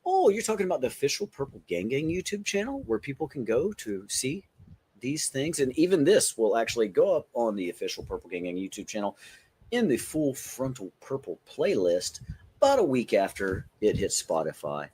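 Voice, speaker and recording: A man's voice: mid-range voice, wildly swooping pitch, brisk, darkish tone, husky. A middle-aged man hosting a podcast, chatting casually about toys in an informal, joking way. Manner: jokey, informal, swearing. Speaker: podcast host.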